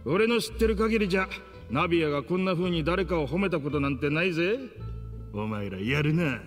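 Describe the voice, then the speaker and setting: An actor playing a brash, excitable young gangster in an anime game, with rough, informal speech. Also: male voice, a little high, fairly quick, in a large hall, faint music behind, close to an everyday voice. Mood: jovial.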